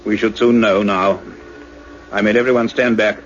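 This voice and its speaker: Actor as earnest scientist narrator in dull, noisy recording. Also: male voice, fairly low pitch, steady tone, lightly acted. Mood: grave suspense. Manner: measured, literary, suspenseful delivery. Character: male scientist doctor, earnest, urgent, narrating his own adventure. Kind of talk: dramatic first-person radio play narration.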